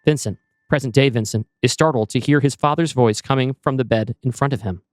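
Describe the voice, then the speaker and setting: A man narrating a scripted screenplay in the present tense, with measured, descriptive storytelling. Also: mid-range voice, no echo, studio quality, soft background music. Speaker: narrator and host.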